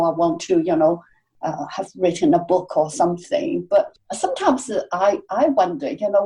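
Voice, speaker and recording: Woman, mellow lowish voice, halting, reflective, podcast guest. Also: low-quality recording. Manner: halting, many fillers, reflective. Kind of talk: casual interview conversation.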